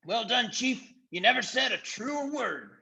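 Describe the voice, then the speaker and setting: A man's voice: extremely high pitch, animated, a little slow, gravelly, bright, forceful tone, voice pushed up, exaggerated voice. A man voicing silly, fawning creature followers who eagerly agree, in a storybook reading.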